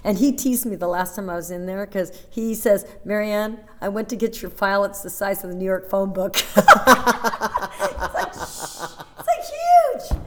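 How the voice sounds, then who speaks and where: A woman in her fifties, a dancer, chatting in an interview and telling animated stories with many restarts and asides. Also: medium pitch, unhurried, heavy background noise. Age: 50s. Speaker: interview guest, Broadway dancer. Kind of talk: casual interview chat.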